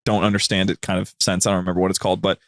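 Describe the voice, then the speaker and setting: A man's voice: sits low, level tone, rapid-fire, dry recording. Informal rambling male guest, dry sound. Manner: informal, rambling storytelling with slangy asides. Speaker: podcast guest. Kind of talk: casual, anecdotal chat.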